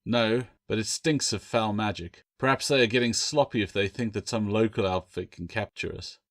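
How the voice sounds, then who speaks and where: A man's voice: lowish voice, steady tone, moderate pace, a bit bright, close to an everyday voice. A man voicing a proud, shrewd pirate captain in an audiobook, speaking formally and with authority. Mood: confident.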